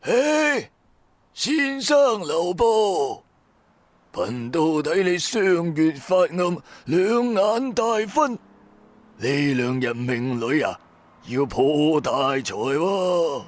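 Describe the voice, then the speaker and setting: A man voicing a sly, wheedling old street fortune-teller in an audio drama, with theatrical drawn-out calls. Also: fairly high pitch, very animated, pitched above the performer's own voice, heavily acted. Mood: ominous hawking. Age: older. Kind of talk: Cantonese audio drama character voice.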